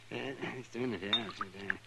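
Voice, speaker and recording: A man's voice: lowish voice, close to an everyday voice. An older American man acting a genial, chuckling town doctor in a 1950s radio western, with folksy, hesitant rambling. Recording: noisy vintage radio drama recording.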